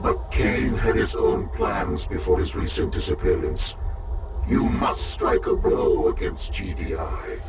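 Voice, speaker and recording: An actor playing a cold, calculating, imperious military artificial intelligence in a video game cutscene, speaking in formal, measured, menacing declarations. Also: male voice, lowish voice, vocal fry, full character voice. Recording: very noisy, distant game cutscene audio.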